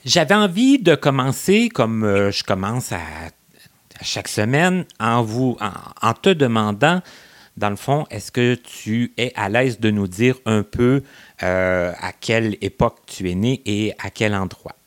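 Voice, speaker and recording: A man's voice: fairly low pitch, big pitch swings, measured pace. A man hosting a casual interview, chatty with many fillers and restarts. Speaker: interview host.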